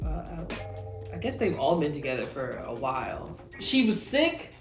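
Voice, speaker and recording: A woman co-hosting a podcast in casual chat, relaxed and quick with agreeing murmurs. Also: low-pitched. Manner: relaxed, many "mm-hmm" backchannels. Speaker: podcast co-host. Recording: echoey room, music behind.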